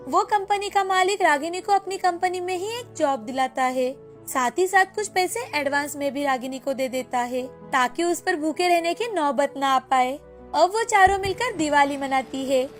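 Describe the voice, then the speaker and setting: High-voiced woman narrating a story. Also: expressive, moderate pace, faint music behind. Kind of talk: scripted moral-story narration.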